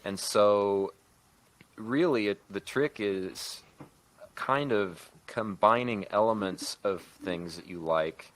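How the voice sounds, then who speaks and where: A man speaking as a podcast host in a casual discussion, thinking aloud with halting phrasing. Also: fairly low pitch, fairly bright tone. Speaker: podcast host and writer-producer.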